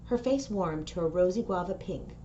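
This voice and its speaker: A woman presenting for a school, speaking in clear phrases to families and children as she gives announcements and reads aloud. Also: lowish voice, expressive, normal speed, mellow. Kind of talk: video announcements and picture-book read-aloud. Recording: dull audio with faint noise.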